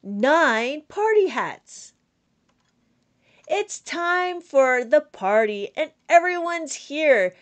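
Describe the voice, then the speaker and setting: A middle-aged woman reading a children's counting book aloud as a teacher, animated and sing-song, drawing out words for young children. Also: high voice, extremely slow. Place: small indoor room at home.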